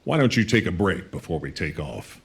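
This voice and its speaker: A resolute military commander in a video game. His voice is deep and authoritative, firm but caring toward his people. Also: sing-song, brisk, vocal fry, full character voice. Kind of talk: game cutscene dialogue.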